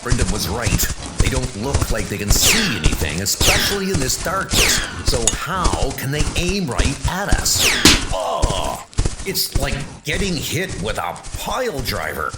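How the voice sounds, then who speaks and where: A man playing a resolute ex-soldier who leads armored knights, speaking in a measured, commanding manner for a comic-book audio drama. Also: medium pitch, very animated, heavy background noise. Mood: tense, forceful. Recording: music under the voice.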